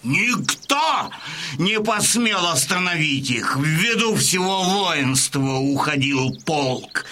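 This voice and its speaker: A man's voice: on the high side, animated, takes it slowly, bright, forceful tone, slight background noise, exaggerated voice. An actor playing a sorrowful wounded Cossack who tells his tale solemnly and dramatically in an audiobook drama.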